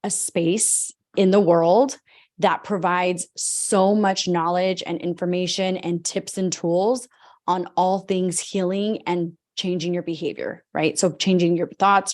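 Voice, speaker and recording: An eager woman hosts a podcast, her voice sitting a little on the low side. She strings her thoughts together in long, excited run-on sentences as she introduces her guest. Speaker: podcast host. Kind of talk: podcast interview, enthusiastic intro.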